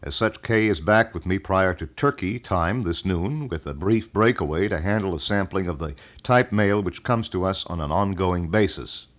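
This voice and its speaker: A man's voice: low voice, moderate pace, few pauses. A man with an American accent hosting a local radio broadcast in a formal, wordy, old-fashioned announcer style. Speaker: radio talk show host. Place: radio studio.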